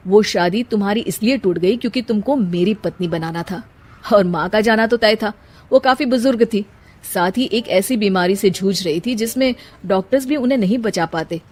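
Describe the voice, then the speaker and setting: A woman voicing a gentle, reassuring young husband in an earnest cartoon drama style. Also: medium pitch, normal speed, natural voice. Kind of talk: Hindi moral-story cartoon dubbing.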